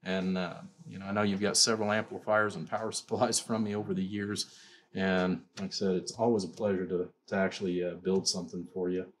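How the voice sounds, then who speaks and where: Really deep voiced guy doing a casual demo of stuff he built, rambly, lots of you know and like i said. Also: little pitch movement, a little fast, a little hiss or hum. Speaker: amplifier builder and seller presenting his work. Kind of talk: informal product demonstration.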